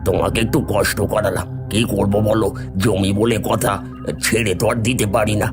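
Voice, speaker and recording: A man's voice: on the low side, fairly even intonation, a little fast, creaky, loud music behind the voice. Man acting a sly, greedy cartoon village swindler. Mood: sly, wheedling. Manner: sly, wheedling or domineering. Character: greedy scheming younger brother, a callous village swindler.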